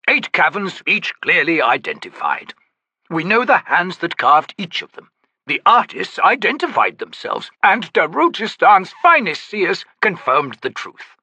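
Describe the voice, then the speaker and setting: A man's voice: sits high, pushed and bright, breathy edge, no echo, pitched above the performer's own voice, heavily acted. A man voicing a pompous, flowery male trickster scholar who talks about himself in the third person, in an audiobook. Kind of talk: audiobook character voice.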